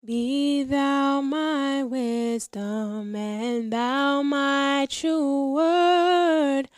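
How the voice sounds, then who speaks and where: Woman singing a hymn real slow, high pitched, quiet music behind her. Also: steady tone, no echo, studio quality. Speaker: singer. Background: soft musical accompaniment.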